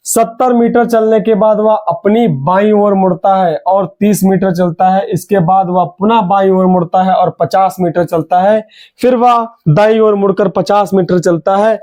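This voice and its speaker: High-voiced man teaching with a sore throat. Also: a little slow. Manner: energetic, urging students, repeats key words. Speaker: reasoning teacher. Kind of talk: online exam coaching class.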